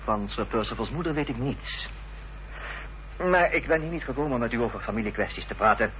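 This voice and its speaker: An actor playing a determined, formal gentleman in a radio drama, earnest and insistent, on a dull, noisy old recording. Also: mid-range voice, lively intonation, fairly quick, lightly acted. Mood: firm, insistent. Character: determined, formal gentleman confronting an enemy's accomplice.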